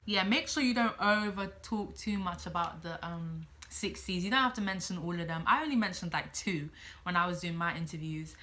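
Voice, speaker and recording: Bright-voiced young woman, chatty vlogger. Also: fairly low pitch, moderate pace. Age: late teens. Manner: chatty, thinks aloud, repeats herself. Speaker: student midwife vlogger. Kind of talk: casual advice vlog, talking to camera.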